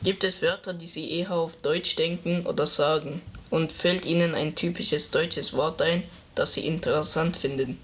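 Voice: medium pitch, fairly even intonation, normal speed, few pauses, a bit bright, slightly rough. An interviewer who asks short, formal questions in German.

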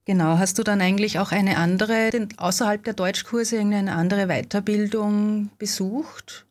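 Interviewer: woman, mid pitch, guides the talk and sums up the guest's answers. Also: fairly even intonation, moderate pace. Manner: leading follow-up questions, sums up answers. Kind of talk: interview questions.

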